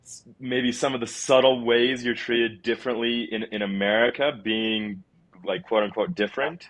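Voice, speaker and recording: Man, podcast host, mid-pitched, casual and chatty, filler-heavy. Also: fairly even intonation, moderate pace, low-quality recording. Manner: casual, filler-heavy, calls himself loud. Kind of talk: casual conversational interview.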